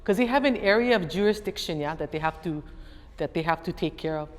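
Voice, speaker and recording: A middle-aged woman with a Hawaiian accent, speaking as an educator and guest speaker. She tells a story to an audience in an animated way and acts out other people's voices. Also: lowish voice, quick pace. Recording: echoey hall, faint background noise.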